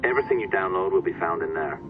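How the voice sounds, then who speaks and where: An actor playing a curt, sardonic office IT technician in a video game, giving dry instructions over a communicator. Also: male voice, low voice, fast, over loud music. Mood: dry, instructing. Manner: dry, impatient, deadpan sarcasm. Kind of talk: scripted game dialogue, instructions. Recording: muffled communicator audio with clear noise.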